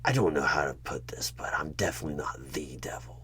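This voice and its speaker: A man voicing a sly, coaxing demon recruiter in a husky whisper for a horror ASMR audio roleplay. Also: extremely low pitch, sharp tone, heavily acted. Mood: sly, defensive, persuasive. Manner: slow, drawn-out, insinuating, persuasive.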